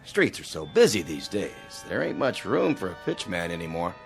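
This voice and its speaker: A man's voice: deep voice, sing-song, moderate pace, gravelly, faint music behind, full character voice. An actor playing an officious street policeman in a radio drama, with a casual, clipped, admonishing manner. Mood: officious, nagging.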